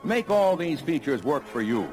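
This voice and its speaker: Lively 1960s American male announcer. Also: fairly high pitch, normal speed, music in the background. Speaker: narrator of a dealer sales training film. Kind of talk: 1960s industrial film scripted narration.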